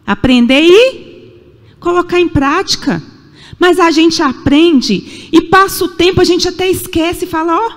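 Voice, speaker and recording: A Brazilian woman in her forties preaching a sermon in a church, emphatic and repetitive, with rhetorical questions. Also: extremely high pitch. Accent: Brazilian Portuguese. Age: 40s. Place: church sanctuary. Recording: echoey church hall.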